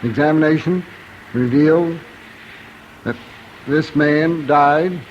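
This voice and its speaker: An American man giving a formal coroner's statement in a deliberate, halting way. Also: fairly high pitch. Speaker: county coroner. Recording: dull old radio, faint noise.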